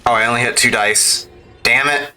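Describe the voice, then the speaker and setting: A man's voice: medium pitch, fairly even intonation, moderate pace, pushed and bright. A man giving casual live gameplay commentary, exclaiming and mildly swearing at the game as he talks to it. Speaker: video game streamer. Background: video game music.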